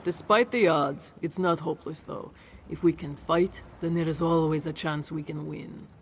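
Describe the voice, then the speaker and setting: A man's voice: on the high side, fairly even intonation, moderate pace, a little hiss or hum, natural voice. A man voicing a blunt, sober, pragmatic profiteer in scripted video game dialogue. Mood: grim, resolute. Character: grim pragmatic profiteer, ready for the worst.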